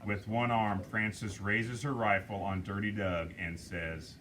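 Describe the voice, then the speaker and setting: A man reading the stage directions aloud at a script table read, measured and deliberate. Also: lowish voice, steady tone, a bit bright, slight background noise.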